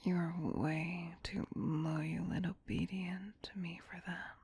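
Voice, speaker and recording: Casting: female performer as vampire queen, tender yet possessive, very breathy whisper, low-leaning pitch, soothing ASMR roleplay delivery. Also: level tone, a little mellow, dry recording, exaggerated voice. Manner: slow, soothing, intimate.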